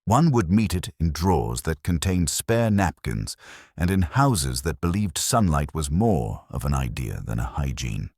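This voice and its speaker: Male solo narrator for detective drama: bass-deep, husky, dryly witty and formal, expressive intonation. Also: no room sound. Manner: measured, dry, wry, formal delivery. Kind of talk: scripted literary narration of a mystery drama.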